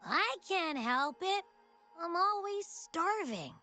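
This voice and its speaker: A woman voicing a boastful, always-hungry childlike little creature companion in a video game, cocky, excitable and whiny. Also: very high-pitched, slow pace, soft background music, full character voice. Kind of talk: animated video game voice acting.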